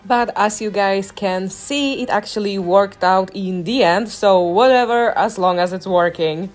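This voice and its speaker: A woman's voice: medium pitch, measured pace. A woman giving casual, chatty voice-over commentary on gameplay, speaking conversationally as a video creator. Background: music behind the voice.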